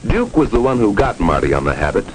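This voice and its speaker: A man's voice: lowish voice, big pitch swings, fast talker, background noise. A man narrating a 1950s educational film in a grave, dramatic, moralizing manner. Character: stern moralizing narrator of a drug warning film. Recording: old film soundtrack with music. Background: music.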